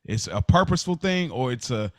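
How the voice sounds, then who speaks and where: An American man in a casual podcast discussion, talking deliberately, rephrasing his points and probing like a co-host. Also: mid-range voice, very animated, dry recording, studio quality.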